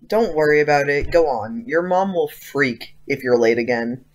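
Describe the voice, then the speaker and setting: A man's voice: a little high, expressive, moderate pace, natural voice. Man playing an earnest, inquisitive teenage schoolboy. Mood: dismissive. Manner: casual youthful phrasing, insistent. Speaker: actor in an audio play. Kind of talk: audio drama acting.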